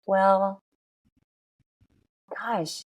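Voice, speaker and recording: A woman in her forties with a raspy voice, chatting and telling stories as a podcast guest, laughing often. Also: mid-range voice, steady tone, drawn-out words. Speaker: guest, worship singer. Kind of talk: casual interview chat.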